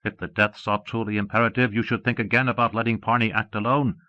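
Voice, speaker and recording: A man's voice: on the low side, flat delivery, fast talker, close to an everyday voice. Casting: male narrator as devoted but worried she-creature servant, earnest, questioning, formal audiobook dialogue, lo-fi tape. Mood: earnest, cautious. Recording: dull lo-fi cassette audiobook.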